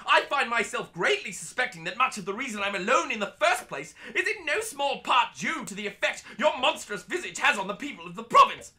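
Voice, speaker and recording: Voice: mid-range voice, big pitch swings, brisk, hardly any pauses, pushed and bright, husky, exaggerated voice. An actor playing a pompous, panicky and callous lord of the manor in a comedy audio drama, with a British accent and florid, theatrical speech. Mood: exasperated, disdainful.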